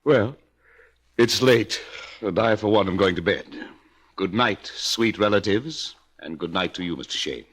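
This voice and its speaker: An actor playing a sardonic, disdainful uncle of a wealthy family, drawling with pompous mock politeness in an old radio drama. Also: male voice, lowish voice, animated, unhurried, fairly bright tone, breathy edge, heavily acted. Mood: snide. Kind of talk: 1940s radio drama acting.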